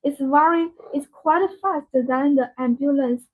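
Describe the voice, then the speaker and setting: A woman hosting a live product demonstration, speaking in halting, repetitive, non-native phrasing. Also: high voice. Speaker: live-stream sales host demonstrating a product. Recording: dull, band-limited live-stream audio.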